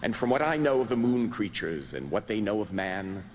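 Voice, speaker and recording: A man playing a greedy, hard-headed adventurer in a theatrical old time radio drama style, excitable and grimly urgent. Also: on the low side, normal speed, a little hiss or hum. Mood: ominous.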